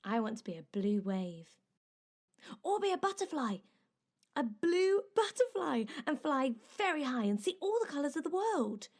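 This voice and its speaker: Little girl in an audio play, high voice, eager, dreamy, full of questions. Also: big pitch swings, moderate pace, a little mellow. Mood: wondering. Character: curious, imaginative young girl, anxious at times. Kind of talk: audio drama acting.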